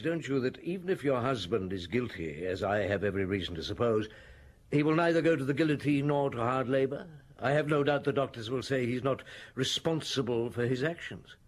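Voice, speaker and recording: An actor playing a weary, authoritative police chief who questions people in a measured, probing way. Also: male voice, medium pitch, very animated. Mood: calm. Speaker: detective chief inspector. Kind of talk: classic radio drama acting.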